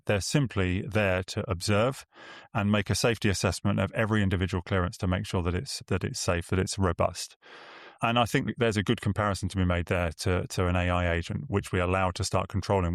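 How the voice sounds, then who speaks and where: A man with a very deep voice speaks as an expert guest in an interview. His delivery is measured and articulate, explaining step by step. The sound is dry and close to the microphone. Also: no echo. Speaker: air traffic expert and podcast guest.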